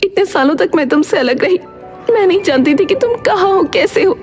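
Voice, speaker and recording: A woman's voice: extremely high pitch, animated, fairly quick, darkish tone, soft background music, voice pushed up. A woman voicing an emotional, fiercely protective mother in a story drama, speaking emphatically. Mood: tender, moved.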